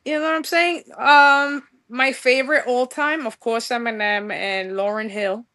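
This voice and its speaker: A woman's voice: a little high, fairly even intonation, unhurried, sharp tone. A young woman from New York with a New York accent, gushing in a casual podcast interview and mixing in street slang. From New York, USA. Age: young adult. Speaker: guest musician.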